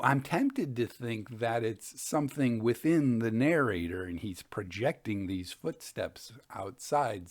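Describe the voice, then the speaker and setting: A man hosting and reading Victorian ghost stories in a measured, expressive storytelling manner. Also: mid-range voice. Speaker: podcast host and reader of ghost stories.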